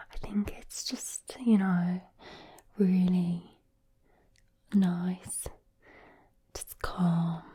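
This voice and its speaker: Slow whispering Scottish woman. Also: mid-range voice. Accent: Glaswegian Scottish. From Glasgow, Scotland. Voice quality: breathy, unvoiced whisper. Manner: soothing, hushed, informal. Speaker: ASMR content creator. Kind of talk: whispered ASMR accent demonstration.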